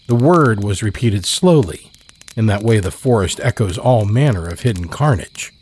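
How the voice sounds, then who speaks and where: A man narrating a scripted horror story dramatically, with deliberate, suspenseful phrasing. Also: fairly low pitch, expressive, a little mellow, a little hiss or hum. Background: crickets and insect ambience.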